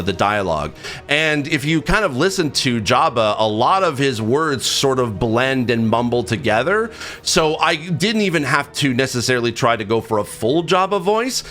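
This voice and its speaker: A man speaks as the host of his own video, telling a personal story at an ordinary pitch. Faint music plays behind his voice. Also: fairly bright tone. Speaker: host, video creator and voice actor. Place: office, own recording setup.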